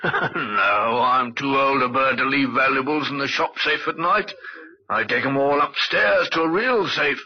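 An actor playing a kindly, canny elderly shopkeeper in an old-time radio drama. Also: male voice, medium pitch, a little slow, natural voice. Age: older. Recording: dull old radio recording.

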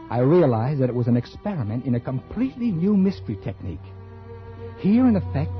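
An ambitious American writer, played by a male actor narrates in an old radio thriller. His medium-pitched voice is animated, intense and theatrical. The vintage recording is muffled, with music behind. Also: natural voice. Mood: feverishly ambitious. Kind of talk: 1940s radio acting, dramatic first-person narration.

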